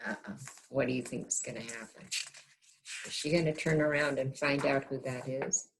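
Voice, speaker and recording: A woman reading a storybook aloud to young children in an expressive teacher's manner, pausing to check in with the listeners. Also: extremely low pitch, creaky, a little hiss or hum.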